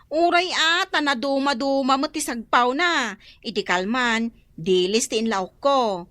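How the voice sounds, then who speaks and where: A woman's voice: sits high, a little slow, a bit bright, dry recording, clean studio sound. A woman playing a nagging, complaining youngster of a poor household in an Ilocano radio drama, whiny and with an Ilocano accent.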